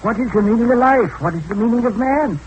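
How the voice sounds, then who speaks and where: Old male hermit for radio adventure: high-pitched, homespun, evasive, kindly, on a slightly noisy vintage recording. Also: expressive, fast talker, a little mellow, highs cut off. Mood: musing, evasive. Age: older.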